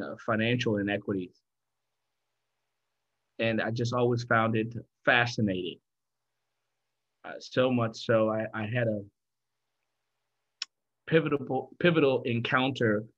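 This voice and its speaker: Man from liberia, low voice, deliberate storytelling, dull lo-fi sound. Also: a bit bright. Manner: thoughtful, deliberate. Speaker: guest, founder of a financial firm. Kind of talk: conversational interview storytelling.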